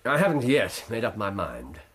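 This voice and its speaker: Husky british gentleman detective, shrewd n polite, lively pitch, radio play. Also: moderate pace, full character voice. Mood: courteous. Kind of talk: radio drama acting.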